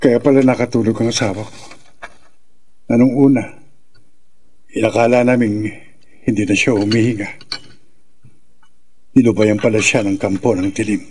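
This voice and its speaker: An actor playing an anxious, bewildered husband who speaks haltingly and stammers in a horror radio drama. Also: male voice, lowish voice, fairly even intonation, darkish tone, slightly rough.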